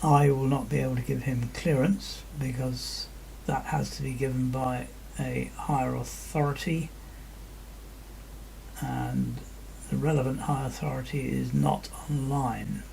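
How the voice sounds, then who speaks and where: Man, heavy vocal fry, methodical controller commentary, unhurried, faint background noise. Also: medium pitch, fairly even intonation, dark tone, rough recording. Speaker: virtual air traffic ground controller and narrator. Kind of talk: radio phraseology and explanatory screen-recording commentary.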